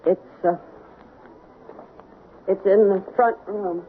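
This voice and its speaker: An actress playing a tense, domineering, scheming wife in an old-time radio drama, sharp and commanding, on a tinny, noisy recording. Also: female voice, mid-range voice, fairly even intonation, slow pace, close to an everyday voice. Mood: cornered.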